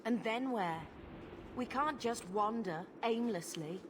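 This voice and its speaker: A woman's voice: on the high side, slow, background noise. A young woman playing an earnest, anxious sister fleeing danger in a fantasy video game. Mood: worried. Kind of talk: video game cutscene acting.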